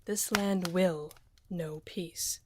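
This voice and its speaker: A woman playing a loyal, commanding senior general in a fantasy audio roleplay, speaking with firm, measured authority. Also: lowish voice, fairly even intonation, background noise. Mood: stern.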